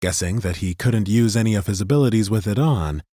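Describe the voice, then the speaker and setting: A man narrating a fantasy audiobook in an expressive, scripted storytelling style. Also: fairly low pitch, a little fast, no echo, clean studio sound. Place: dry recording studio.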